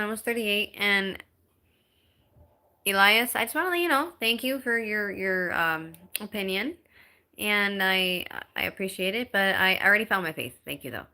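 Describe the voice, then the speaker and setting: Bright-voiced chatty woman in her thirties. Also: mid-range voice, expressive. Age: 30s. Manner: chatty and halting, with many ums and restarts. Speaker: live streamer. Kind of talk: casual live-stream chat reading viewer comments.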